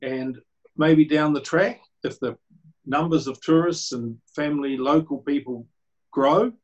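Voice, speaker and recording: A man with a croaky voice, presenting a report to a council over a video call in a chatty, rambling way. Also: a little high, unhurried, muffled recording. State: croaky voice, clearing throat.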